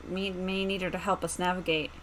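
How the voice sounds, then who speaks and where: A woman's voice: lowish voice, level tone, a little fast, a bit bright, slight background noise. A woman playing a tabletop roleplaying game, chatting casually and speaking as her character.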